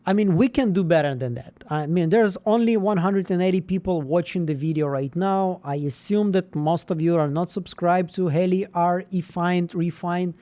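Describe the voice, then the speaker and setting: Man, livestream host, rambling, slightly high, mellow voice. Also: normal speed, hardly any pauses. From Israel. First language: Russian. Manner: rambling, with frequent fillers and restarts. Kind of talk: casual livestream chat.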